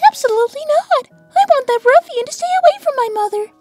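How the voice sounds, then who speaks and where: A woman voicing a prim, polite little rabbit girl in a cartoon, sweet and formal with a sharp streak. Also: very high voice, animated, a little fast, soft background music. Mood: indignant. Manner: sweet, formal, primly disapproving. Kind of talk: in-character cartoon Q&A.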